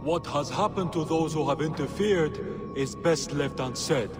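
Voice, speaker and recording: An actor playing a sly, joking market trader with a teasing, wheedling manner. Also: male voice, fairly high pitch, normal speed, music in the background, natural voice. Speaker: merchant.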